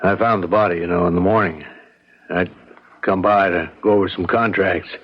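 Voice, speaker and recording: A man's voice: deep voice, fairly even intonation, normal speed. A man playing a seasoned, worldly small-town lawyer in a radio drama, talking in a blunt, knowing, folksy way. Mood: casual. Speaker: actor. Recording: muffled radio drama recording.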